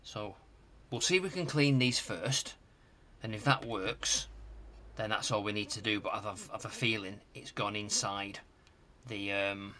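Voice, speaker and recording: A middle-aged British man giving casual hands-on repair commentary, musing aloud in a halting, hesitant way. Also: on the low side, bright, forceful tone, slight background noise. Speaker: host of a retro tech repair channel. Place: home workshop loft.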